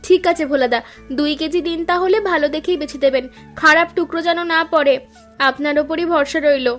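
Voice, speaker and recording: Woman doing a high-voiced, polite cartoon daughter-in-law, eager and respectful, soft music under. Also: brisk. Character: elder daughter-in-law, sensible and traditional. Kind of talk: cartoon voice acting.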